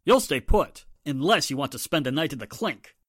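A man's voice: fairly high pitch, lively intonation, normal speed, fairly bright tone, dry recording, studio quality. A man acting a tough, bossy police inspector in a 1940s-style radio drama, curt and commanding. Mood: stern.

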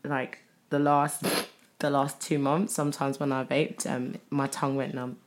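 A woman talks in a very low, deep voice. She co-hosts a casual podcast chat, blunt and candid, dropping the odd swear word. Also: flat delivery, measured pace. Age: 30s. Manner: candid, swearing, laughs often. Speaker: podcast co-host.

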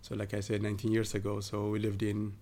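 A man from southern Ethiopia, a guest in a podcast interview, talking casually with many pauses and fillers. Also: lowish voice, monotone, mellow, a little hiss or hum. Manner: hesitant, many fillers and restarts.

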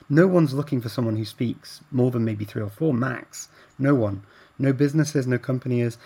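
A man, a guest telling stories in a relaxed conversation, getting animated during anecdotes. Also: mid-range voice, vocal fry, husky. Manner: animated when telling anecdotes, laughs while talking. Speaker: guest, language learner. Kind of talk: conversational storytelling.

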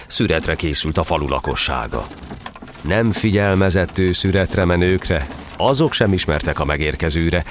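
A man's voice: low-pitched, a little hiss or hum. A man narrating a verse tale in a solemn, theatrical storytelling style.

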